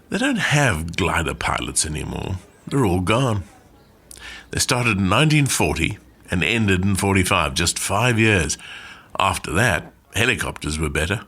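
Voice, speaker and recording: An actor in a radio play monologue as an old, plainspoken war veteran and glider pilot, reminiscing conversationally with dry understatement. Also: male voice, sits low, pushed and bright, heavily acted. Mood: rueful, wry. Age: older.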